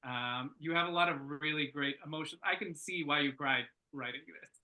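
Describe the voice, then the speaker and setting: A man giving casual workshop feedback, thinking aloud in a halting, informal and enthusiastic way. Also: a little high, phone-quality sound. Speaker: script reader giving feedback.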